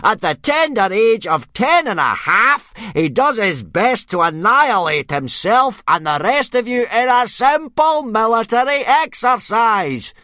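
A man voicing a gruff, blustering male Viking drill instructor who bellows at his young recruits. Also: fairly high pitch, expressive, a little slow, fairly bright tone, no echo, studio quality, voice pushed up. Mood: bellowing.

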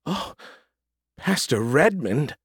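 A man's voice: mid-range voice, very animated, slow pace, slightly rough, no room sound, studio quality, voice pushed up, full character voice. A man voicing a prim, pleasant Southern churchgoing woman, polite and easily flustered, with a Southern accent. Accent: American Southern. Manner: genteel, polite, fluttery.

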